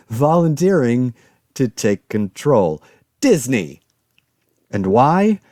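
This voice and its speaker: A man playing an anxious, wry survivor of a zombie apocalypse, talking through his thoughts in a halting, exasperated audio drama monologue. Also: mid-range voice, warm tone, no echo, studio quality, lightly acted. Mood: incredulous, agitated.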